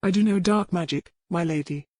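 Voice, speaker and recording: A woman's voice: fairly low pitch, brisk, darkish tone, no echo, clean studio sound, lightly acted. A woman voicing a loyal, world-weary old herbalist servant in a historical romance audiobook. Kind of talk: dramatic audiobook character reading.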